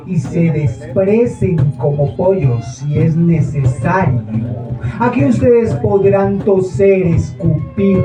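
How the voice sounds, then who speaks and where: A stage performer presents to an audience in theatrical style, with a middle-pitched voice. The sound is dull and echoey, as in a small theatre, with clear music behind. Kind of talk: theatrical live dialogue and announcing to an audience. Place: small theatre workshop, recorded from afar. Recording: echoey, boxy live theatre recording.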